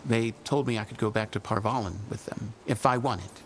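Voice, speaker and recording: An actor playing a gentle Qunari man, speaking in a measured, formal way. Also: fairly low pitch, a little hiss or hum, lightly acted. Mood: calm. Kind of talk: video game cutscene dialogue. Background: music.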